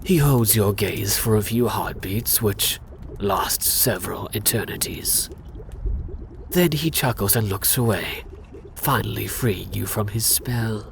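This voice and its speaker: Slow, hushed man narrating ASMR. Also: on the low side, gravelly, slight background noise. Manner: hushed, often whispering, dramatic pauses. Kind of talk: ASMR scripted narration of fantasy roleplay.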